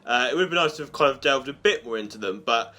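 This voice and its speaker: A man's voice: mid-range voice, expressive, fast talker, bright, forceful tone. A man giving a casual, chatty and enthusiastic review to his online viewers. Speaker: YouTube reviewer and host. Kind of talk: casual review of an audio drama, spoken to viewers. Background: music under the voice.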